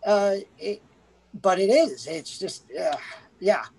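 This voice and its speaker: A woman's voice: mid-range voice, expressive, slow pace, a little mellow, breathy edge. A woman chats about a film on a podcast in a loose, rambling way. Her sentences keep restarting and are packed with "like"s. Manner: rambling, full of "like"s, laughs. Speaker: podcast co-host. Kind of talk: casual movie review chat.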